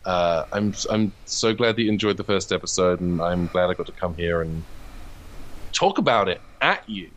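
A man speaking casually as an interview guest, earnest and halting as he repeats his phrases. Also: deep voice, lively intonation, a bit bright. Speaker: guest, comedian and writer.

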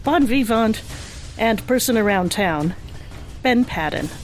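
A woman's voice: on the high side, expressive, measured pace, faint music behind. A woman speaking as the co-host of an interview show. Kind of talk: interview hosting.

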